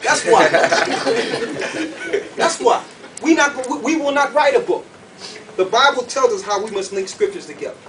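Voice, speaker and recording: A man preaching to a group in an animated, colloquial way, emphatic and full of rhetorical questions. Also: very high-pitched, measured pace, pauses often, recorded from a distance. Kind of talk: animated sermon with questions to the audience. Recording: noisy room, picked up from afar.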